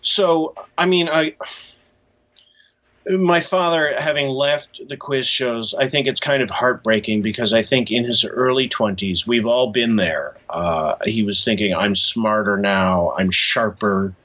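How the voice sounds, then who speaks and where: A man speaking as an interview guest, telling a story conversationally in a thoughtful, halting way with pauses. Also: medium pitch, pushed and bright, dull audio.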